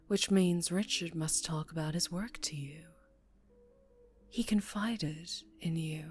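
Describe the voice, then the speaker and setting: A woman playing a dangerously charming female mafia boss in a scripted ASMR roleplay, breathy and often near a whisper, teasing and softly threatening. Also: deep voice.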